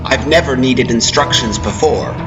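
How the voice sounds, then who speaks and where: A man's voice: medium pitch, normal speed, low-quality recording. A man narrating a first-person horror story as a dramatic reading. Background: background music.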